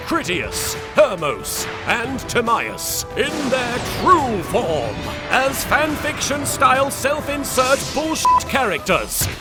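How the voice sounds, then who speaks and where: A man's voice: extremely high pitch, wildly swooping pitch, takes it slowly, few pauses, loud music behind the voice. A man voicing an arrogant young pharaoh duelist, smug, theatrical and sarcastic. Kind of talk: comedic parody dub.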